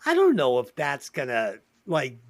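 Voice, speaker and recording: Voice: medium pitch, wildly swooping pitch, unhurried. A podcast co-host in casual comedy movie-review chat, reading out plot summaries and swearing casually.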